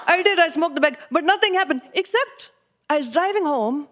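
A woman voicing an earnest Indian father with an Indian accent, telling a story in an emphatic, deadpan way. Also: very high voice, brisk, pitched above the performer's own voice. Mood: earnest, animated. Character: earnest Indian immigrant father recounting smoking pot.

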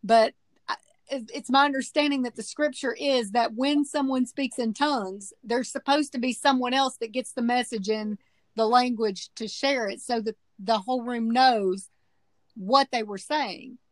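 A woman's voice: fairly high pitch, moderate pace, dry recording. Earnest woman explaining, dry close sound. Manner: earnest explaining, laughs easily. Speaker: podcast guest panelist. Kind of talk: casual chat.

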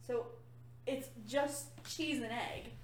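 Playful podcast co-host, woman with a higher voice, heard a bit off-mic in an echoey room. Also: fairly even intonation, slow pace, distant mic, a little hiss or hum. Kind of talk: casual chat.

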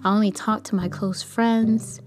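A young woman with a light, soft voice talking casually in a rambling, candid personal podcast monologue. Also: mid-range voice, fairly even intonation, unhurried, over music. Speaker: podcast host; kindergarten teacher.

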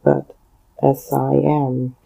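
A man from Hong Kong with a Hong Kong accent and Cantonese as his first language, talking alone on a podcast in a halting way, with many fillers and pauses. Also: mid-range voice, completely flat delivery, creaky, warm tone, husky, rough recording. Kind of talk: solo spoken commentary.

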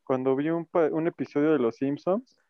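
Mexican co-host on a dull lo-fi mic. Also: male voice, fairly high pitch, steady tone, normal speed, no echo. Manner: defensive, slangy, laughs at himself. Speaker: podcast co-host. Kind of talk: casual chat. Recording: dull lo-fi sound.

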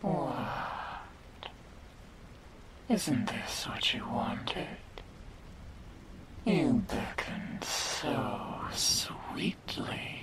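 Woman acting a slow, whispering, sinister demon girl. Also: rumbling low, big pitch swings, heavily acted, low-quality recording. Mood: mocking, menacing. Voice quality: breathy whisper, mostly unvoiced. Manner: slow, mocking, condescending, theatrical delivery. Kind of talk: whispered ASMR roleplay. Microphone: close binaural microphone.

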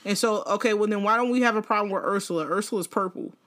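A young woman co-hosting a podcast in casual chat, animated, laughing often and swearing freely. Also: medium pitch, fast talker. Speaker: podcast co-host.

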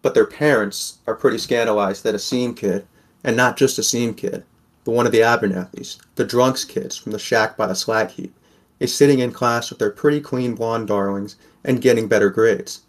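A man's voice: medium pitch, normal speed, natural voice. A man playing a wary, sardonic sixteen-year-old boy who narrates an audio drama in the first person. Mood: wry, reflective.

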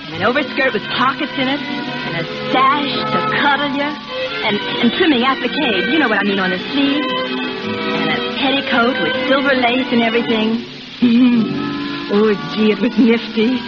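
A woman's voice: a little high, moderate pace, lightly acted. A woman playing a naive, gushing young shop girl in 1930s radio drama, with breathless girlish exclamations. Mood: gushing delight. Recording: muffled old 1930s radio broadcast, loud music.

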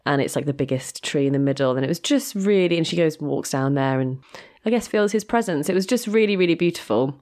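A woman's voice: deep voice, expressive, fast, hardly any pauses, a little mellow. A British woman co-hosting a podcast, chatting casually in an effusive, gushing way. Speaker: podcast co-host.

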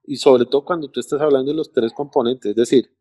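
A man, co-hosting a casual talk about language teaching, halting with restarts and fillers but getting lively when he argues. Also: mid-range voice. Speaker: co-host discussing language teaching. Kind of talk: casual podcast chat.

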